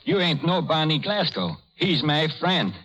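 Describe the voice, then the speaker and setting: A trusting, stubborn Swedish working man from an old radio play. His English is plain and broken, with a Swedish accent and very animated intonation. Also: on the high side, a little slow. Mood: firm, dismissive. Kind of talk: 1930s radio drama acting. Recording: muffled vintage radio broadcast.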